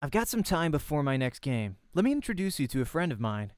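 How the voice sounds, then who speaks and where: A man's voice: a little high, sing-song, a little fast, clear, resonant tone, dry recording, clean studio sound. A man playing a confident young pro gamer in a visual novel, with an easy, friendly, teasing manner.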